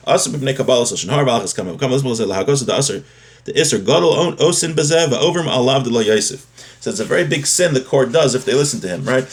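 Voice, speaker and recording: Male religious teacher, mid-pitched voice, reading and explaining a text, restating each point for clarity. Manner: explanatory, repeats and rephrases points. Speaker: religious teacher giving a daily class.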